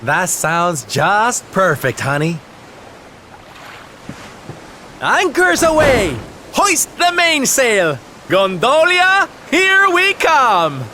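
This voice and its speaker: A man's voice: a little high, sing-song, measured pace, fairly bright tone, slight background noise. A man playing a flamboyant, tender-hearted seafaring hero in a video game, with a camp, theatrical manner. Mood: upbeat, bold. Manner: theatrical, camp, fond endearments. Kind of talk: video game cutscene acting.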